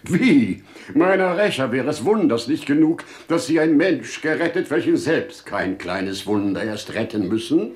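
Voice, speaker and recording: An actor playing a wise, shrewd older father in a 1950s German radio play, theatrical and rhetorical, dwelling on words with dramatic pauses. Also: medium pitch, expressive, close to an everyday voice. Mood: gently arguing. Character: wise older merchant father, male, shrewd, teasing yet earnest.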